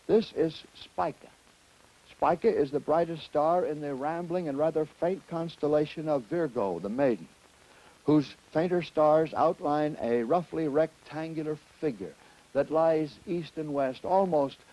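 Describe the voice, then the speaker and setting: A man presenting an educational lecture to students in deliberate, emphatic, didactic phrasing. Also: fairly high pitch, dark tone, low-quality recording. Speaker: educational film presenter and astronomy teacher.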